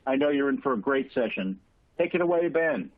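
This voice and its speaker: A man hosting an online summit, briskly introducing the next speaker. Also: medium pitch, expressive, no room sound. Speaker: summit host. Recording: tinny phone-like line, dry.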